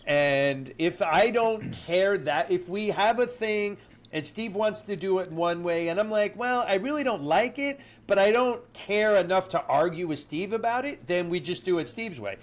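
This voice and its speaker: A man co-hosting a podcast, his voice sitting a little high and sounding nasal and stuffed-up. He talks casually and chattily, laughing along easily with his partner. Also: moderate pace. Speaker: podcast co-host.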